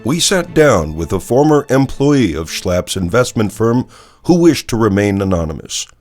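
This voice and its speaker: Deep-voiced, dramatic TV news anchor. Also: male voice, very animated, moderate pace, darkish tone, soft background music. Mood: grave, measured. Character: polished, serious TV newsmagazine anchor man. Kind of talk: scripted broadcast news narration.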